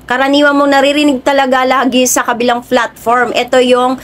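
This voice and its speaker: A woman hosts a casual app tutorial. Her voice sits a little high, and there is slight noise behind it. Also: little pitch movement, fairly quick. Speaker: video tutorial host.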